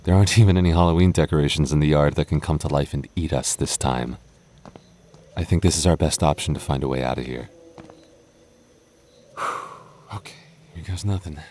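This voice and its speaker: Man acting as a deep-voiced, protective goth boyfriend. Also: darkish tone, a little hiss or hum. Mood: protective, soothing. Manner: stammering, halting, earnest delivery. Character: young goth boyfriend, protective, playful, easily flustered. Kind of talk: intimate boyfriend ASMR roleplay acting.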